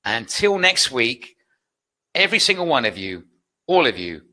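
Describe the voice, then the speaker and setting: A British man with a bright, forceful voice runs the question time of a live online trading class. He is chatty and upbeat, reading out viewers' requests and promising future sessions. His talk is loose and warm-hearted, full of quick asides. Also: mid-range voice, big pitch swings. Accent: British English. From United Kingdom. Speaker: trading educator hosting a webinar. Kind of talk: live webinar Q&A.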